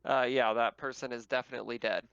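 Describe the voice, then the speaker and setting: Chuckly game master, smooth male voice, dull audio. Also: medium pitch, fairly even intonation, fast, fairly bright tone, no room sound. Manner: chuckles often while talking. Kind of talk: casual narrating of an online tabletop game. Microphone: online voice call.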